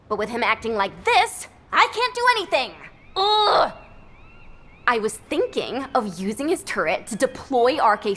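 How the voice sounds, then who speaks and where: An actress voicing an excitable, huffy young woman operative in a video game. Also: a little high. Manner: animated, huffy.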